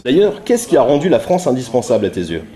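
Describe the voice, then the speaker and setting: A man hosting a casual interview, teasing his guest and dropping deadpan jokes. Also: medium pitch, fast. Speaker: host of a web interview show.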